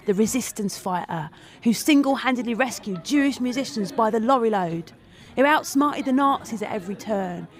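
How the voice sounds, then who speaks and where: Actress as a bitter, devoted confidante. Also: female voice, on the high side, lightly acted. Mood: sardonic. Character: bitter, devoted woman confidante of a famous singer, resentful. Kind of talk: dramatic monologue in audio drama.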